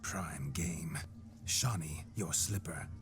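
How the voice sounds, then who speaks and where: A rakish, boisterous nobleman's spirit in a game cutscene, talking with cocky, old-fashioned swagger. The voice sits low and deep, with music playing underneath. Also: takes it slowly, vocal fry, full character voice. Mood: jovial. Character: brash, rakish nobleman's spirit possessing a man. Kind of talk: video game cutscene dialogue.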